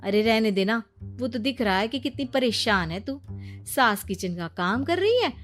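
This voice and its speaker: High-pitched, fed-up young wife acted by a woman. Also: lively intonation, moderate pace, soft background music, lightly acted. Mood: complaining. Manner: complaining, melodramatic. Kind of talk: Hindi cartoon story acting.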